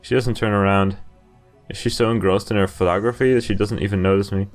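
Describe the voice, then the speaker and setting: Man, lowish voice, reading game text aloud, casual asides, light music behind. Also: steady tone, quick pace. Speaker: video game playthrough host. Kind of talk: reading visual novel narration aloud with casual commentary.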